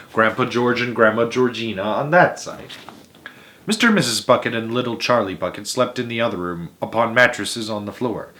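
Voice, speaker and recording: A man's voice: medium pitch, fairly bright tone. Man reading a children's story theatrically. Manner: theatrical, emphatic, with dramatic pauses and stretched words. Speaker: host of a read-aloud story series. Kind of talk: children's storybook read-aloud narration.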